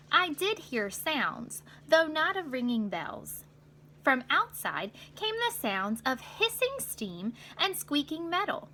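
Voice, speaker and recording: A woman reading a children's picture book aloud as a school counselor, with deliberate, expressive storyteller delivery, explaining things for young listeners. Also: high voice, a little hiss or hum.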